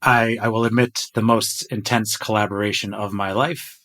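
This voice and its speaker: A man's voice: fairly low pitch, flat delivery, moderate pace, a bit bright. A man talking casually as the host of a podcast discussion.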